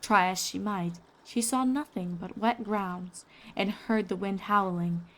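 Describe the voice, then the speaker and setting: A woman's voice: mid-range voice, fairly even intonation, unhurried, poor audio. A woman reading scripted story narration as a fan fiction reader.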